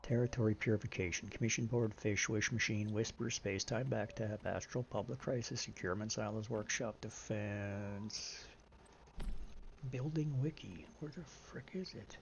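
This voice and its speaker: Deep-voiced middle-aged man, dull lo-fi mic. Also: normal speed, vocal fry, a little hiss or hum. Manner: rambling, explains patiently, reads menu items aloud. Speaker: live streamer. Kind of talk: casual gaming stream chat.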